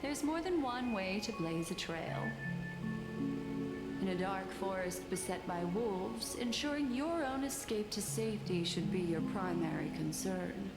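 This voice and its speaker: An actress playing a poised, mysterious and shrewd woman in a fantasy video game, advising calmly in measured, formal phrases. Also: mid-range voice. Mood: grave, thoughtful. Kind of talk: scripted video game dialogue. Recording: reverberant voice under loud game music.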